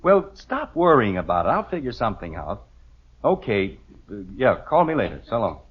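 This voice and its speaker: A man's voice: on the low side, big pitch swings, normal speed, husky. An actor playing a middle-aged American husband and father, hesitant, stammering and blustering, in an old-time radio comedy. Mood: harried. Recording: muffled, noisy vintage radio recording.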